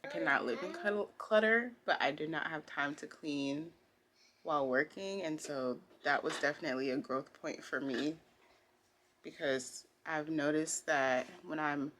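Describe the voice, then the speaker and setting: A woman's voice: low voice, unhurried, a bit bright, a little hiss or hum. A woman talking candidly and informally in a casual personal vlog, recorded at home. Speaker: vlogger, costume designer. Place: indoors at home.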